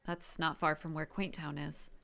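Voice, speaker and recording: A woman's voice: low voice, flat delivery, moderate pace, creaky, a bit bright. A woman playing an eager, earnest young new superhero in an audio drama. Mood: attentive, curious. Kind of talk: scripted audio drama acting.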